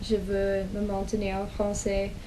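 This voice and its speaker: American woman, young adult, non-native, slow hesitant delivery, mellow tone, faint background hiss. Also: medium pitch, monotone, poor audio. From United States. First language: English. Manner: slow, hesitant, many pauses and restarts. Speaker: language vlogger. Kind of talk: casual personal storytelling in learned French.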